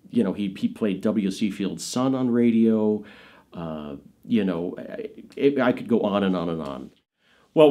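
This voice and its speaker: A man's voice: on the low side, dark tone. An American man in his fifties telling anecdotes in an interview, listing things with emphasis. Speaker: interviewee, actor and filmmaker. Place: indoors, in front of dark curtains.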